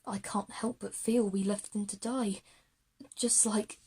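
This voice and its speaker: An anxious, downcast schoolchild, played by a woman in a kids' audio drama. The voice is soft and breathy, hesitant and weighed down with guilt. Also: mid-range voice, fairly even intonation, measured pace. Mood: guilty, downcast. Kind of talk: audio drama acting for young audiences.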